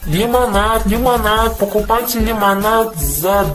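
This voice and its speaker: A performer voicing an excitable, money-hungry young red dragon in an amateur cartoon, with simple declarative delivery. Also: mid-range voice, a little slow, close to an everyday voice. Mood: eager. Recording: music behind the voice.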